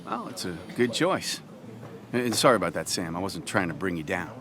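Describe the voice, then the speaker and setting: An awkward, apologetic game character at a wake. His fairly low voice moves expressively up and down. A crowd is clearly heard around him. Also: big pitch swings, moderate pace. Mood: apologetic, gentle. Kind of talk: video game acting.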